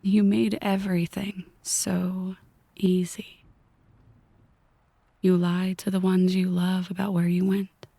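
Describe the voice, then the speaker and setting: A woman voicing a possessive yandere mafia boss in an ASMR roleplay, slow and half-whispered, mock-affectionate and coolly menacing. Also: lowish voice, flat delivery, gravelly, dark tone, no echo, heavily acted. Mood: teasing, menacing.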